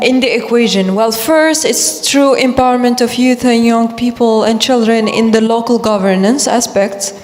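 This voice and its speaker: Young Moroccan woman, high voice, reverberant hall. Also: slight background noise. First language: Arabic. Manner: earnest, searching for words, many restarts. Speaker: panelist, youth climate ambassador. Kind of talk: panel discussion.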